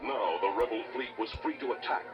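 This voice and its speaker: A man's voice: mid-range voice, a little fast. A man narrating a children's read-along adventure in a dramatic, scripted storytelling style. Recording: muffled distorted toy cassette playback. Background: loud dramatic music.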